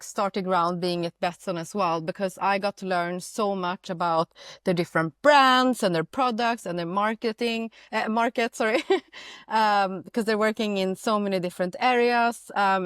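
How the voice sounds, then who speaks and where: A woman's voice: mid-range voice, clear, resonant tone, no room sound, studio quality. Chatty Swedish-raised woman guest. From Sweden. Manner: chatty, many fillers, laughs easily. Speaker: marketing director, guest. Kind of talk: casual interview chat.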